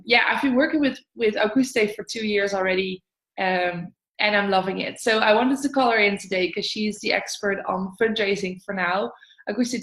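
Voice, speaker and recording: A woman in her twenties from the Netherlands, hosting a casual interview over a video call in a friendly, unhurried way. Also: mid-range voice, fairly bright tone. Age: 20s. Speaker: host. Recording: echoey room.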